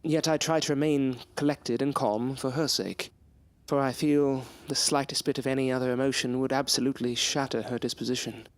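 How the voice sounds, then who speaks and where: A man's voice: medium pitch, fairly even intonation, a little fast, no echo, lightly acted. A man playing a polite, earnest young student in a gothic audio drama, speaking in formal, old-fashioned phrasing. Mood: somber, wondering. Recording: music under the voice.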